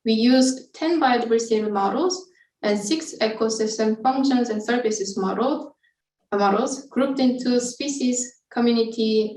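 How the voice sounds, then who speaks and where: A woman's voice: a little high, darkish tone. A woman researcher giving an explanatory scientific webinar presentation, speaking somewhat hesitantly. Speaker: researcher presenting study methods. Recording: echoey room, distant from mic.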